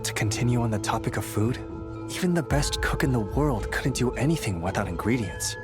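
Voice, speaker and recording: A man's voice: mid-range voice, expressive, a little fast, close to an everyday voice. A man voicing an earnest, dutiful young squad leader in a video game, explaining things in a friendly way. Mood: serious. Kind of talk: English video game character acting. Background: background music.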